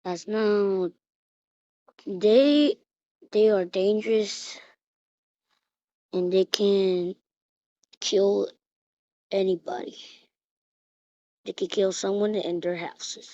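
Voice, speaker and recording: Slow, halting woman reading a mock emergency alert. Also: mid-range voice, dark tone, dry recording. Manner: halting, repeats and restarts phrases. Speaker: emergency alert announcer in a hobby mock broadcast. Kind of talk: improvised emergency alert announcement. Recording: dull lo-fi recording.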